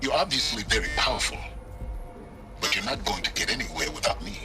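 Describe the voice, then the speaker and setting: An actor playing an enigmatic, calculating scholar who speaks in a measured, wry manner with deliberate pauses. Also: male voice, fairly low pitch, sing-song, music in the background. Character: enigmatic scholarly male outcast, calm and calculating.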